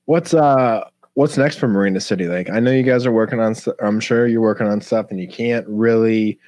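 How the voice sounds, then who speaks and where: A man's voice: fairly low pitch, brisk, darkish tone, dry recording. A man co-hosting a podcast, asking rambling, informal questions in casual conversation. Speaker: podcast co-host interviewing. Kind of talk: casual chat.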